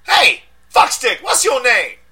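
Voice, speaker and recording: A man voicing a scared, frantic young man who has just been jailed, cursing and shouting. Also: sits high, very animated, normal speed, pitched above the performer's own voice, exaggerated voice. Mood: panicked. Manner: loud, profane, panicky shouting.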